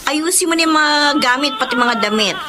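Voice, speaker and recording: Radio drama role, played by a woman: young hardworking mother and live seller, chirpy, high-pitched, over loud ambient noise. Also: fairly quick, lightly acted. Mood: tired, bossy.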